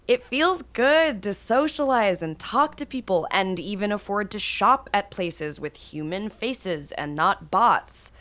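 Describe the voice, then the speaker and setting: A woman playing an anxious, devoted grown daughter in a naturalistic drama, casual, earnest and halting. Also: mid-range voice, lively intonation, a bit bright. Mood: relieved, casual.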